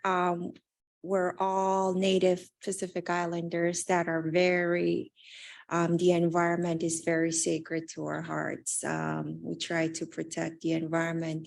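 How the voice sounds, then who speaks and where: A woman moderating a panel discussion, who speaks haltingly with many "um"s as she frames a question. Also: fairly low pitch, gravelly, dry recording.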